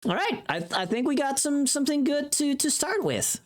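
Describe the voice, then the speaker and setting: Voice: sits high, very animated, fairly quick. A livestreamer chatting casually with viewers in a playful, teasing, rambling way. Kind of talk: casual chat with live viewers.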